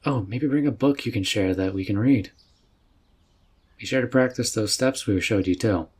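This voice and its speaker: A man playing a friendly, relaxed mage mentor with a folksy, reassuring way of talking in a roleplay audio drama. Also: lowish voice, normal speed, breathy edge. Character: easygoing, friendly male fantasy mage mentor.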